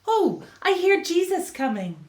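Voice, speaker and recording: A woman's voice: extremely high pitch, sing-song, unhurried, darkish tone, reverberant, heavily acted. A woman playing a dreamy, carefree sister in a radio play, reasoning in a light, easygoing way. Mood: gently persuading. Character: dreamy, carefree younger sister of Bible times.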